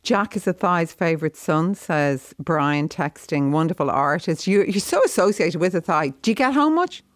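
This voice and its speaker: A woman with an Irish accent hosting a radio show, briskly asking her guest several questions in a row. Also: fairly low pitch, lively intonation, no room sound, studio quality. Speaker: radio host. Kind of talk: radio interview. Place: radio studio.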